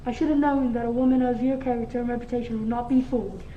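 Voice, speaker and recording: A woman's voice: a little high, fairly even intonation, fast talker, close to an everyday voice. A woman playing a smug, oily male millionaire heir in a comic radio mystery play, speaking pompously and theatrically. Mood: smug, ingratiating. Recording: echoey room, distant mic, muffled, faint noise.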